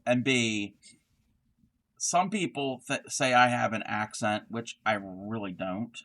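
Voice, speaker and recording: A man with a Southern American accent hosting a relaxed crochet livestream chat, his words often trailing off into murmurs while he works. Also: medium pitch, slow. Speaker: host.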